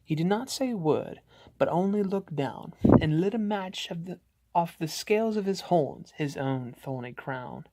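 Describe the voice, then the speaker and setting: A man voicing a frightened father who narrates a scary Christmas poem in a theatrical, ominous, drawn-out manner. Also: fairly high pitch, expressive, mellow. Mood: grim dread. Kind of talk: dramatic storybook poem reading.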